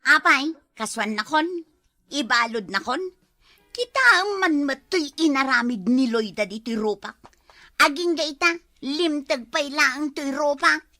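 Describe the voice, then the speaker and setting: Actress as quarrelsome Ilocano wife in comedy drama, high and sharp, proud and bitter. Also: female voice, a little slow, dry recording, studio quality. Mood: bitter, complaining.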